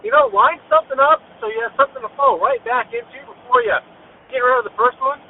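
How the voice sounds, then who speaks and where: A man's voice: very high-pitched, sing-song, fast, creaky. A man from the United States leaving a casual voicemail message on a muffled, noisy phone recording. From Indianapolis, United States. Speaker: voicemail caller.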